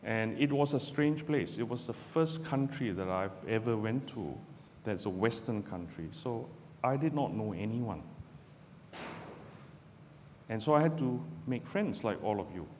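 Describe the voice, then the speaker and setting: A middle-aged man from Malaysia, a barrister giving a measured, deliberate public talk to students from a podium in a lecture hall. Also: lowish voice, animated, darkish tone. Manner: measured, deliberate delivery with pauses. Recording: roomy hall with long reverb, faint background noise.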